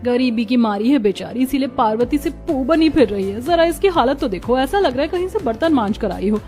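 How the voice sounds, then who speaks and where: Woman doing spoiled mean college girl for a cartoon, looks down on everyone, highish but mellow. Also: lively intonation, brisk, faint music behind. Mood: mocking scorn. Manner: mocking, disdainful. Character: haughty, spoiled, scornful rich college girl. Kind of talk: Hindi cartoon story dialogue.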